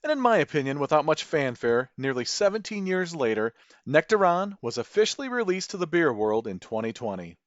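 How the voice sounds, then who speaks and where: Animated Midwestern man hosting a podcast. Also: a little high, fairly quick, no room sound, muffled recording. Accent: Midwestern American. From American Midwest. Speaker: podcast host. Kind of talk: scripted narration.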